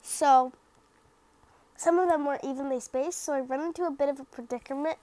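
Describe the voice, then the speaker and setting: A young woman with a high voice talks through her craft project in a loose, rambling voice-over. She is a little stuffy from a cold and keeps doubting her work, filling her sentences with "like" and "um.". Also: fairly even intonation, fairly quick, dark tone, no echo. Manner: rambling, self-critical, lots of "like" and fillers. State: slightly stuffy from the sniffles. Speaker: craft vlogger. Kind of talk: casual voice-over narration of a craft video.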